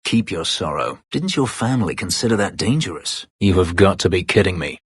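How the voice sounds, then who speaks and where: An actor playing a brooding, bitter escaped-slave elf warrior in a fantasy video game, terse, guarded and drily sardonic, with a gravelly voice. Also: male voice, big pitch swings, a little fast, dry recording, clean studio sound, heavily acted. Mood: dry, sardonic. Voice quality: gravelly, husky low voice. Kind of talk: dramatic fantasy video game character dialogue.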